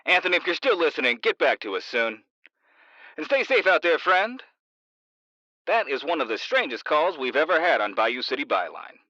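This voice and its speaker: A man's voice: on the high side, big pitch swings, moderate pace, pushed and bright, no room sound, highs cut off. An actor playing a brash talk radio host with showman patter, in a radio drama. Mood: animated.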